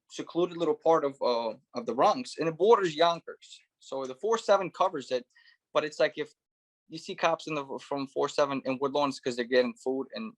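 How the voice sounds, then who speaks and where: A man in his thirties, born in Russia, telling his life story as a guest in a casual interview, with lots of "you know" and "right". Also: mid-range voice. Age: 30s. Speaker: guest, former police officer turned real estate investor.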